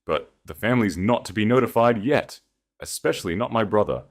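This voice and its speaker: A man's voice: lowish voice, expressive, brisk, pitched above the performer's own voice. A man voicing a proud, wounded wife and heiress in an audiobook, speaking with restraint and control. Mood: cold, commanding. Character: wounded wife turned cold, commanding heiress.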